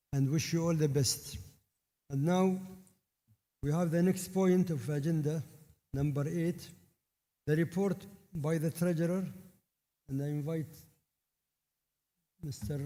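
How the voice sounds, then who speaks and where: A man chairing a formal meeting speaks slowly in a soft, mellow tone that sits a little high. He stops and restarts words as he goes. His voice rings with long reverb in a large hall. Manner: halting, restarts words, plain phrasing. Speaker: congress chair.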